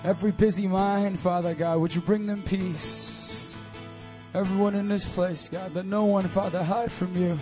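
A hoarse man leading worship, praying and exhorting a church congregation into a stage mic. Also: high-pitched, steady tone, normal speed, over music. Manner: urgent, exhorting calls to the crowd. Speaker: worship leader and preacher. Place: church service hall. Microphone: handheld stage mic.

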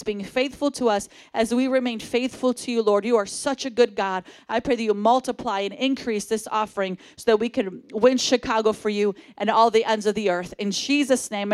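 A woman's voice: a little high, no room sound. A woman leading the church offering, explaining the giving and then praying fervently. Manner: fervent, repetitive prayer. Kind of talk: offering talk and prayer. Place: church service.

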